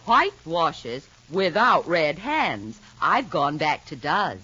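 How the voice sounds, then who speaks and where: A woman delivering an old radio commercial in a chirpy, singsong way. She speaks slowly, with a bright, lively lilt. The recording is muffled and lo-fi. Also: low voice, slightly rough. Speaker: ad spokeswoman.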